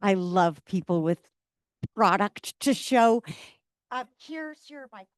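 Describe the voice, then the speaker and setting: Lively upbeat woman running the team meeting, dry sound. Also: medium pitch, slow pace, no room sound. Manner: upbeat and encouraging. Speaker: meeting leader.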